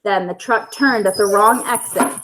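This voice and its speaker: A woman reading a children's storybook aloud in an expressive narrating style, as at a school story time. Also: mid-range voice, moderate pace, background noise.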